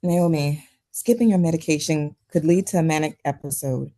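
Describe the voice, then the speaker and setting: A woman playing a concerned, guarded psychiatrist with a measured professional manner at a drama table read. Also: sits low, dark tone. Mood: calm concern. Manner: measured, professional, hesitant at times.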